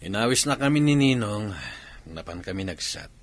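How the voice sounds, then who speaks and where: An actor playing a smug, scornful and amorous cheating husband with a Filipino accent in an audio drama. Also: male voice, on the low side, expressive, normal speed, lightly acted. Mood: dismissive. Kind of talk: Ilocano audio drama acting.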